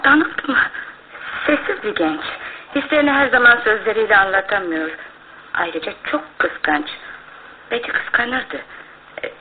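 A woman's voice: medium pitch, animated, a little slow, long pauses, lightly acted. Actress as earnest young woman, tinny radio sound. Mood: worried, candid. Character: young working woman, candid, worried older sister. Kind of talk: radio drama acting. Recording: tinny, noisy old radio drama recording.

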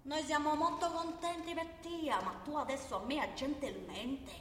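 Woman acting a high-pitched Sicilian mafia boss, echoey hall. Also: normal speed, heavy background noise, natural voice. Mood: mock-polite menace. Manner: menacing, wheedling, peppered with questioning interjections. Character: bullying Sicilian mafia boss Don Calogero, man, mock-polite. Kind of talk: satirical radio drama acting. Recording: echoey hall, distant mic, faint music.

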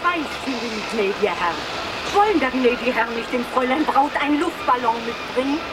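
A fawning female balloon seller played by an actress, high-pitched and coaxing, in a radio play. Loud street noise surrounds her. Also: animated, fairly quick, natural voice. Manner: deferential, coaxing. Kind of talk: 1970s German radio drama acting.

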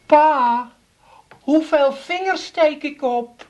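An actress playing a flustered, fussing Amsterdam housewife who coaxes and repeats herself in a comic radio play. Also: female voice, sits high, very slow. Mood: fussing, alarmed. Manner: fussy, coaxing, repetitive. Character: fussing, flustered working-class Amsterdam housewife humouring old father-in-law.

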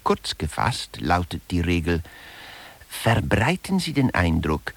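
A man's voice: sits low, big pitch swings, slow pace, creaky, slightly rough, heavily acted. A man narrating a satirical lecture in a radio play, articulate and emphatic in a mock-scholarly way.

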